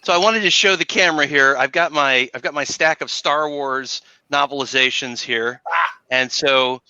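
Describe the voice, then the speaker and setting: A man's voice: medium pitch, normal speed, bright, forceful tone, smooth, dry recording, lo-fi sound. A man chatting casually and playfully as a panelist on a video call, with a theatrical streak.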